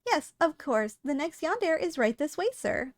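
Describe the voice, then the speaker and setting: Woman acting as high-voiced, polite female shop clerk. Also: big pitch swings, normal speed, dry recording. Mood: matter-of-fact. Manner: formal, courteous salesperson patter with dry understatement. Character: polite, businesslike female shop clerk selling dangerous yandere girls. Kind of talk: scripted ASMR roleplay addressed to the listener.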